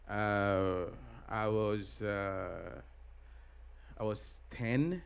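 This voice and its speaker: A middle-aged man from Ghana, a pastor being interviewed, answering in a halting way with many pauses and restarts. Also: on the low side, creaky, clean tone. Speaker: pastor and guest.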